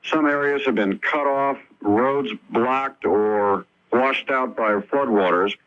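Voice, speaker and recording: An American man reports the news as a correspondent, speaking in a loose, unscripted way. His pitch is middling, and the radio sound is dull and lo-fi. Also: slow pace, a bit bright.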